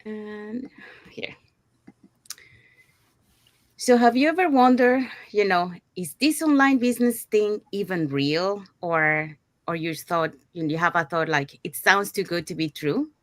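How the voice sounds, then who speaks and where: An upbeat woman from Panama hosts a business livestream over a video call, chatting along with plenty of fillers and restarts. Her voice is mid-pitched and sits dry and close, with no room around it. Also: expressive, slow, dry recording. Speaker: host of an online business livestream. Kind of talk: presenting a live webinar promo.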